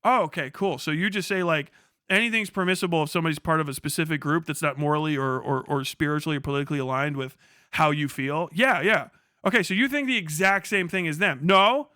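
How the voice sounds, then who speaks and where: Bright, forceful man ranting on a livestream. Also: a little high, fast talker, no room sound, studio quality. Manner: profane, sarcastic, acts out mock dialogues. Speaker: livestream host. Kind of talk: casual livestream political rant, reading chat.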